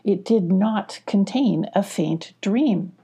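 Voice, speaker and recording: Female children's audiobook narrator, husky and relaxed, measured pacing of phrases, expressive storytelling. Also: medium pitch. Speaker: audiobook reader.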